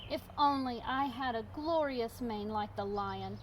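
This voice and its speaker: A woman voicing a fretful, self-doubting female hippopotamus in a storybook, plaintive and drawn-out. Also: fairly high pitch, slight background noise, natural voice. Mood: sad, wistful.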